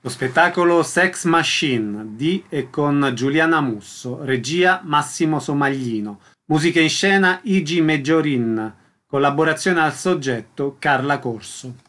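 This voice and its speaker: A man's voice: fairly high pitch, expressive, unhurried, fairly bright tone. A man hosting a radio show, reading scripted announcements and putting questions to a guest. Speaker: radio host.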